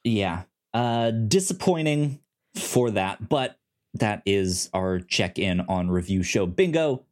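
A man talks as a podcast co-host in a relaxed, unhurried chat. His pitch moves around a great deal, and his phrasing is halting, often restarting mid-thought. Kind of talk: casual movie review chat.

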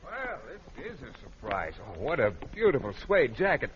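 A man's voice: mid-range voice, huge pitch swings, moderate pace. Naive eager male teacher, old radio comedy, voice bounces around, muffled and noisy. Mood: gushing. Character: earnest, bashful, oblivious male biology teacher. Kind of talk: vintage radio sitcom acting.

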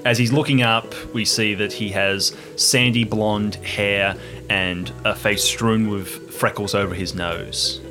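A man's voice: on the low side, fairly even intonation, slow pace. A man playing a tabletop role-playing game, casually improvising a description of his character, with music underneath.

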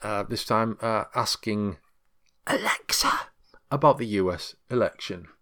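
A man's voice: on the low side, a little slow, pushed and bright. A man presenting a podcast in a casual, chatty manner as its host.

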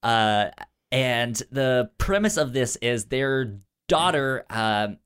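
A man's voice: medium pitch, expressive, a bit bright, smooth. Stammering man hosting a casual podcast. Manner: halting, stammers and repeats syllables. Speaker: podcast co-host. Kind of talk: casual movie podcast chat.

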